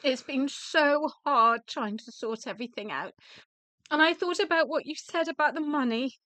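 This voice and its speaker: An actress in a radio drama playing a weary, emotional widow who speaks in halting, deliberate phrases. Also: female voice, high voice, bright, forceful tone, dry recording. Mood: regretful, confiding. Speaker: actor in a radio play.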